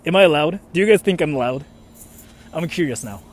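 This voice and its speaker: A young man from Japan, a native Japanese speaker, chatting to his livestream viewers. His voice sits high and swings widely in pitch. He rambles along with fillers and an emphatic "freaking" in a loose, casual stream of talk. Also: animated, normal speed, a little hiss or hum. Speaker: streamer reading chat comments.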